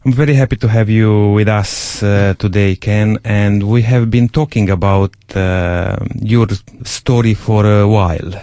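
Slow, deep-voiced male radio host. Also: steady tone, dark tone, dry recording, clean studio sound. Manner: slow, measured, encouraging, frequent pauses with "uh".

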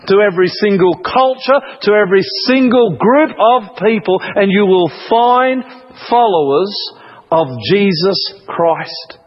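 A man preaching a sermon, deliberate and emphatic, repeating phrases and pausing for effect. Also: high voice. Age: 40s. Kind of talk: sermon, Bible study. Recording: muffled radio-like sound, long hall reverb.

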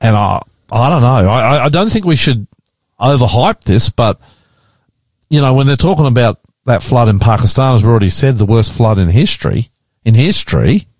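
A man's voice: mid-range voice, animated, normal speed, a little mellow, no room sound. A man with an Australian accent hosting casual radio talk in a chatty, blokey manner. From Queensland, Australia. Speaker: radio host and pastor. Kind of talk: casual radio banter and discussion. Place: radio studio.

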